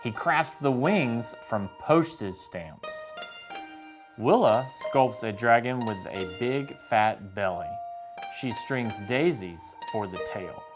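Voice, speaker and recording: Man slowly reading a children's story. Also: mid-range voice, expressive, over music. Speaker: guest story reader. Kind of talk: scripted children's story narration.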